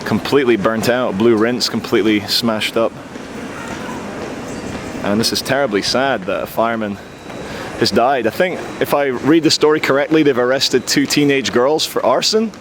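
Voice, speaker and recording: Hoarse man vlogging on a noisy street. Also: mid-range voice, moderate pace. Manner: wry, sarcastic running commentary. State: hoarse from a chesty cold. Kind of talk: casual walking commentary. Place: city street outdoors. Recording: outdoor street recording with traffic noise. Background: street traffic noise.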